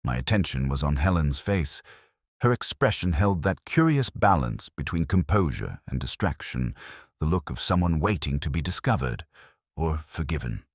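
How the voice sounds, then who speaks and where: A brooding police inspector played by a man narrates his case in a very deep, close and dry voice. His delivery is measured and reflective, with literary phrasing and lively rises and falls. He sounds world-weary, like the narrator of a detective radio drama. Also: no room sound, exaggerated voice. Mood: somber, watchful. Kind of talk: scripted first-person detective story narration.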